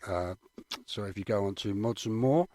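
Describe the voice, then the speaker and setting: A man's voice: on the low side, brisk, warm tone, no echo. An English man co-hosting a radio show, chatting casually in an agreeable, matey way. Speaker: radio co-host.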